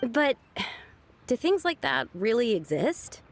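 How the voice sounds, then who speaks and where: A woman's voice: on the high side, sing-song, slow pace, a little hiss or hum. A woman voicing an earnest, determined young girl in a video game, with a youthful, plain-spoken manner. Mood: puzzled. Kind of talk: video game character acting.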